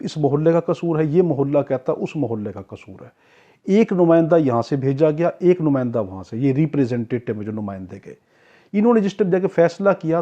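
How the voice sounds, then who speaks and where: A man's voice: fairly high pitch, mellow. A man teaching an exam-prep law lecture in a didactic way, repeating key points and answering his own questions. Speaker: law tutor. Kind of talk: explanatory exam-prep lecture with everyday examples. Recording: dull, lo-fi recording.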